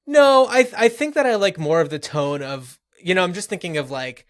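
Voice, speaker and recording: A man's voice: high-pitched, animated, a little fast. A man co-hosting a podcast, discussing movies casually and thinking aloud in a loose, conversational way. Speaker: podcast co-host.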